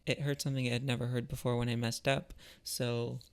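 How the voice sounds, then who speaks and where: Creaky-voiced man musing casually. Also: medium pitch, little pitch movement, a little fast. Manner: thoughtful, informal, searching for words. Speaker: musician and artist, video creator. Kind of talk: casual reflective talk about creativity.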